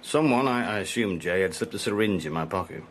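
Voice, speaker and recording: A deep-voiced, wry secret agent. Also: male voice, expressive, a little fast, a bit bright. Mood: questioning, deferential. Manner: dry, sardonic, understated. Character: wry, world-weary Cold War secret agent, insubordinate. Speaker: narrator and lead spy. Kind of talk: radio drama first-person narration.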